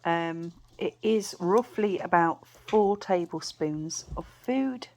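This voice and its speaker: A British woman vlogging casually to camera, chatty and rambling, her voice a bit croaky. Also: on the low side, slow pace, a little mellow. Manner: chatty, rambling with many fillers and restarts.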